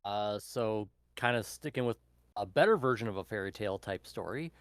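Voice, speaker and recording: A man in a book club casually explaining a recommendation in a halting, meandering way. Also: on the low side, lively intonation, smooth, no echo, clean studio sound. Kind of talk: casual chat, recommending books.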